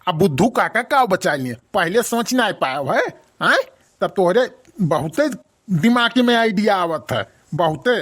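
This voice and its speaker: A man's voice: high voice, very animated, measured pace, a bit bright, full character voice. A man voicing a scolding, sarcastic village father in a comic cartoon, with an Awadhi accent. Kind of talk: comic rustic cartoon dialogue.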